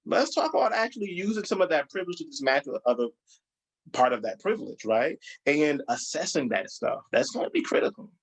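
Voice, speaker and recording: A man's voice: very animated, brisk, slightly rough, poor audio. A man leading a group training, explaining ideas and asking the group questions, speaking over a video call. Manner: encouraging, asks the group questions, rises in pitch for emphasis. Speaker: diversity trainer.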